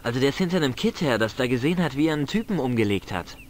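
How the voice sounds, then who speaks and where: A man's voice: mid-range voice, expressive, rapid-fire, a little hiss or hum, close to an everyday voice. A man voicing a cheeky, defiant teenage village boy in a video game, boyish, slangy and cocky, with a flair for dramatic tall tales.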